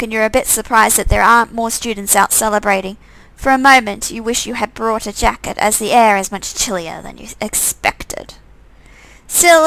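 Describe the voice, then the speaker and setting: A woman's voice: a little high, vocal fry, fairly bright tone, poor audio. A woman reading a visual novel aloud as a game streamer, adding playful, wry asides of her own. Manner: playful, wry side comments.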